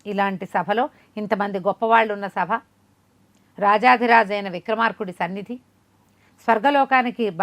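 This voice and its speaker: A woman's voice: medium pitch, a bit bright, natural voice. A woman storyteller voicing an earnest, courteous young woman musician who speaks politely and respectfully in a folk tale.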